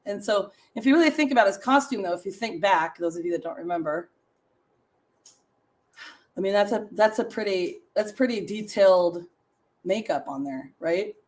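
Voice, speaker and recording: A middle-aged woman with a raspy voice hosting a casual live stream, chatting with viewers and reading trivia and short stories aloud. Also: mid-range voice, lively intonation, normal speed. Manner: chatty, laughs often, reads facts and stories to viewers.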